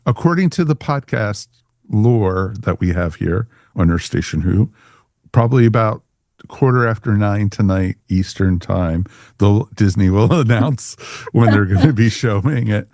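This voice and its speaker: A man from the United States hosts a casual podcast. His voice sits fairly low and is recorded very dry. He rambles along in a chatty, welcoming way. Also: expressive, unhurried, darkish tone, dry recording. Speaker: podcast host.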